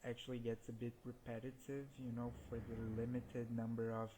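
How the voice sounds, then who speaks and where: A man's voice: medium pitch, monotone, brisk, background noise. A man whose first language is Portuguese, giving laid-back gameplay commentary and chuckling often as he talks. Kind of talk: casual let's-play commentary.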